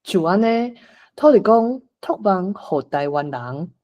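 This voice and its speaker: A woman's voice: mid-range voice, expressive, slow, muffled recording. A woman with a Taiwanese accent narrating a scripted folk tale as storyteller.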